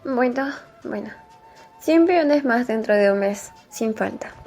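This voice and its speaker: A woman acts out an implacable male mine boss in an amateur radio serial. The delivery is slow and curt, with a gravelly creak, commanding and stern. Music plays under the words. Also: a little high, animated, darkish tone. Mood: stern, insistent.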